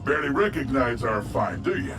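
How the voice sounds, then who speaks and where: Schauspieler als großspuriger Roboterschütze, laute Musik dahinter. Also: male voice, medium pitch, sing-song, brisk, music in the background, lightly acted. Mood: swaggering. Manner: boastful, clipped. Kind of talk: video game cutscene acting.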